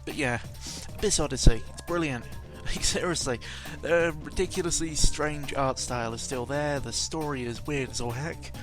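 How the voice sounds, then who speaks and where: Music plays clearly behind a man with a mid-pitched voice. He talks casually as a gaming vlogger, rambling and doubling back on himself, with jokey exaggerated emphasis. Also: normal speed, hardly any pauses. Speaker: video game vlogger. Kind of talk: casual rambling audio log commentary on games. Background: clear background game music.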